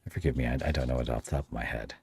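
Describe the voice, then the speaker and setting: A man with a low-pitched voice hosts a relaxed, conversational interview. The sound is dry, with hardly any room in it. His phrasing is somewhat halting as he works out what to say. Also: gravelly, slightly rough, no room sound. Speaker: podcast host and interviewer. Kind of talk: casual interview.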